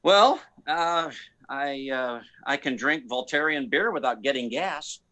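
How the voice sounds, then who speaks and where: Man as bumbling, bright-voiced slacker pilot. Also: medium pitch, animated. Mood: sheepish boasting. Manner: halting, stammering, bumbling. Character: hapless, insubordinate, hard-drinking male slacker space pilot. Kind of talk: comic radio play acting.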